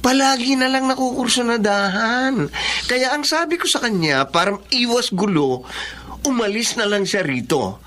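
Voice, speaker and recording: A man's voice: very high voice, animated, normal speed, pushed and bright, slight background noise, full character voice. A man with a Filipino accent playing a fussy, exasperated, whiny nightclub manager in a radio drama. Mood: defensive, nervous.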